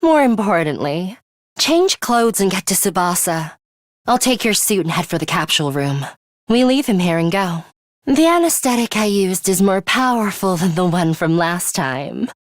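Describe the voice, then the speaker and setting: A woman playing a serious, composed young female fighter in a video game, dryly scolding and sharp-tongued but caring. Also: mid-range voice, sing-song, no room sound, studio quality, lightly acted. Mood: composed, matter-of-fact. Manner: measured, stern, dryly scolding.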